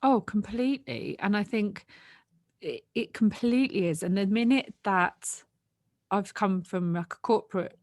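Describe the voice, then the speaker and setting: A woman's voice: mid-range voice, measured pace, a little mellow, dry recording, clean studio sound. A woman in her thirties hosting a podcast from home on a big desk microphone, talking earnestly and emphatically. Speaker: podcast host. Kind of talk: casual conversational interview. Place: bedroom at home. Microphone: large desk microphone.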